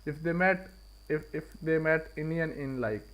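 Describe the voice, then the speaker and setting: A man's voice: fairly high pitch, moderate pace, a bit bright, low-quality recording. A man with a Pakistani accent hosting a casual live trading stream, repeating and rephrasing his points for emphasis. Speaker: live-stream forex trader and host.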